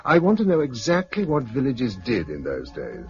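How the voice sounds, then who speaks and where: An actor with a British accent playing a steady, practical, wry leader of survivors in a 1950s radio drama. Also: male voice, medium pitch, normal speed, soft background music. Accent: British English. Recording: muffled, noisy 1950s radio recording.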